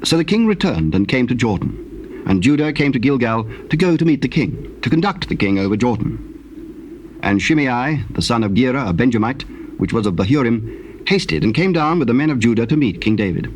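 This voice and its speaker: A man narrating dramatized scripture in a solemn, measured and formal way. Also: mid-range voice, expressive. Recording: noisy old cassette tape.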